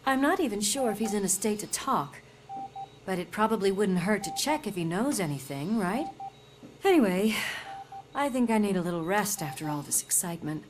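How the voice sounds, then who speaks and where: A woman's voice: medium pitch, animated, moderate pace, close to an everyday voice. Casting: concerned police partner in a game, female actor, mid-range voice, sincere and conversational. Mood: resolute, weary. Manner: earnest, confiding, conversational. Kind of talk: video game cutscene acting.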